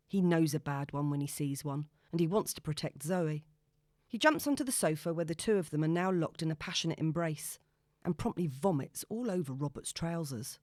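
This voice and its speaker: A woman's voice: on the low side, a little mellow, no room sound, studio quality. A woman reading scripted novel narration as an audiobook narrator.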